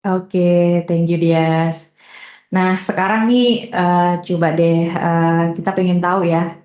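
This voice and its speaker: A woman's voice: on the low side, fairly even intonation, takes it slowly, darkish tone, reverberant, muffled recording. A young woman hosting a podcast interview, chatting casually with lots of fillers. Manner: chatty, many fillers and "gitu ya". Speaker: podcast host.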